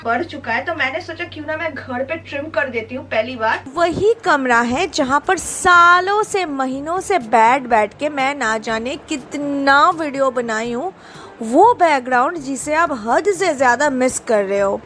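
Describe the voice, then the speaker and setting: A young woman vlogging casually and emotionally as she walks a handheld camera through the bare rooms of an old flat. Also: sits high, normal speed, a little hiss or hum. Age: young adult. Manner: chatty, emotional, mixes in English phrases. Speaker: YouTube vlogger. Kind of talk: casual home tour vlog.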